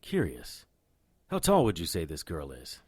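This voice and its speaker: An actor playing a hard-boiled occult private investigator in a noir audio drama, with a deadpan, wry manner. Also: male voice, very deep voice, unhurried, breathy edge, full character voice. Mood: deadpan, brooding. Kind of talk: noir radio drama acting with first-person narration.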